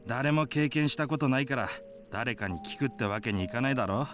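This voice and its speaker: A man's voice: medium pitch, very fast, music in the background, natural voice. A man playing a casual, cocky young male livestreamer in a Japanese visual novel, speaking informally and with animation. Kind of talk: Japanese game voice acting.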